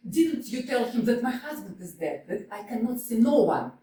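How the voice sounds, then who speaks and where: Grand, self-pitying young widow, fairly high voice, read by a woman, distant roomy stage sound. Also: big pitch swings, moderate pace, warm tone, slight background noise. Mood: indignant. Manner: theatrical, sweeping declarations. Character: proud grieving young widow, melodramatic. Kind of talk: staged reading of a stage play. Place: small community theater stage. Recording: echoey stage picked up from afar.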